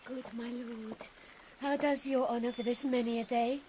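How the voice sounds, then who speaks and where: Actress as wounded young noblewoman, earnest, formal and courteous, Shakespearean radio drama, fairly high voice, clear background noise. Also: fairly even intonation, moderate pace, mellow, close to an everyday voice, low-quality recording.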